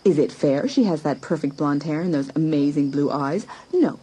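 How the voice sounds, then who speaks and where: A woman's voice: deep voice, lively intonation, normal speed, mellow, breathy edge, low-quality recording. A woman playing a scheming, competitive, jealous teenage girl in a dramatised audiobook, talking to herself in theatrical exclamations. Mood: scheming, defiant. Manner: theatrical, exclamatory self-talk. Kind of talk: dramatised audiobook acting, thinking aloud.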